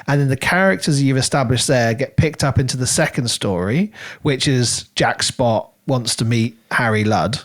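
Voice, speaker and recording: A man's voice: mid-range voice, normal speed, few pauses. A man co-hosting a podcast, discussing a book in a casual, conversational way and repeating words as he searches for phrasing. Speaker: podcast co-host reviewing a book.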